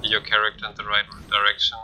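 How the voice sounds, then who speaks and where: A man with a fairly low voice talks casually through a video game as he plays it. His English phrasing is non-native, and music plays clearly behind him. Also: completely flat delivery, quick pace. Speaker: video game streamer doing a showcase playthrough. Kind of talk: casual gameplay commentary. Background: game music and game sounds.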